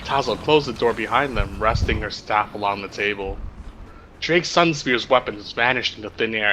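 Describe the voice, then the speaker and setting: Bright, forceful man with a lowish voice. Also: low-quality recording. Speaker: story reader. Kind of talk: reading chat roleplay aloud to friends. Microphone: online voice chat microphone. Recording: faint background noise on voice chat.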